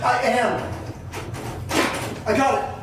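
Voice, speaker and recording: A man's voice: very high voice, fairly even intonation, slow. A man acting an exasperated, rattled young American in a naturalistic horror audio drama, emphatic and sweary. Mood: exasperated, agitated.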